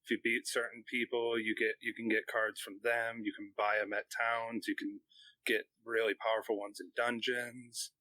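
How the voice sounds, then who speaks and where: Male podcast guest or panelist, casual, crisp forward tone, dry close recording. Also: mid-range voice, flat delivery, moderate pace, pushed and bright, no room sound, rough recording. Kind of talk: casual gaming chat.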